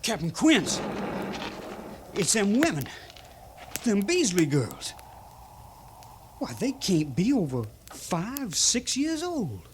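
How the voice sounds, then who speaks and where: An eager, deferential cavalry trooper in a 1950s radio western. He has a folksy rural western American accent and speaks slowly, with very swooping intonation. The old recording is slightly noisy. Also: high-pitched, huge pitch swings, heavily acted. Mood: shocked. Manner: folksy, respectful.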